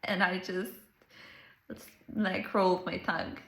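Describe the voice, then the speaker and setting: A woman with a Serbian accent, a Serbian native speaker, speaks slowly and earnestly to the camera, drawing out her phrases. Her grammar is simple and non-native. Her voice rings in a roomy, echoing space. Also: lowish voice, level tone, gravelly, fairly bright tone. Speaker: life coach. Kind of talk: reflective monologue to camera.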